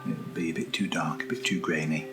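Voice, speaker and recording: A British man speaking softly in an ASMR unboxing style, hushed and often slipping into a whisper, as the host of the video. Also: extremely low pitch, fairly even intonation, brisk, over music. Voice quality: soft, often dropping to a whisper. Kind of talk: soft-spoken ASMR unboxing.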